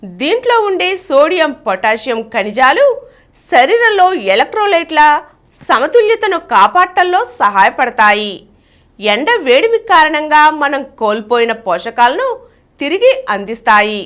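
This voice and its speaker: A woman's voice: sits high, sing-song, measured pace, a bit bright. A woman reading a scripted, informational health narration.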